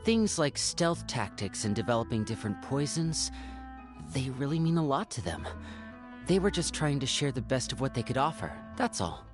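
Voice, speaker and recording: A woman voicing a composed young intelligence agent in a video game, explaining things calmly. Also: very deep voice, normal speed, over music.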